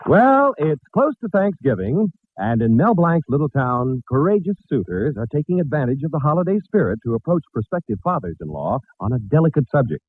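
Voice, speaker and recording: A man's voice: on the high side, very animated, a little fast, husky. A man announcing a 1940s radio show in a projected, formal showman style, reading scripted narration. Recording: scratchy, tinny old-time radio recording.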